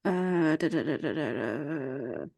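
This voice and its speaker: A woman's voice: deep voice, animated, takes it slowly, creaky, a little mellow, breathy edge, no room sound, studio quality. A woman co-hosting a casual podcast game, muttering clues to herself as she thinks. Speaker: podcast co-host. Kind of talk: casual chat, guessing game.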